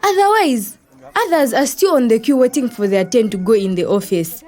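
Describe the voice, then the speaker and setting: Woman acting a lively, high-voiced young Zambian daughter. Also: moderate pace, close to an everyday voice. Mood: lively, chatty. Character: lively, chatty young daughter and loyal friend. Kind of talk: acted educational audio drama.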